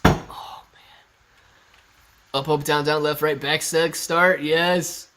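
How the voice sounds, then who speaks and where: Chatty man in his thirties, higher voice. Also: little pitch movement, slow, heavy background noise. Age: 30s. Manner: laid-back and chatty, laughs often, reads chat aloud. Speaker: stock livestream host. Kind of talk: casual live-stream chat reading viewer comments. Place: sitting in his living room. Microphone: laptop on a kitchen stool at eye level.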